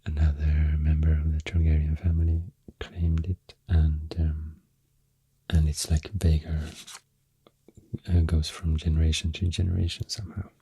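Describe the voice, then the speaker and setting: A man with a Swedish accent, rambling softly and hesitantly in soothing ASMR, breathy and often near a whisper, close to the mic. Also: a bass voice, completely flat delivery, moderate pace, dry recording. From Sweden. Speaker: ASMR creator. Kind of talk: soft-spoken ASMR rambling. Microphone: close microphone in a dry space.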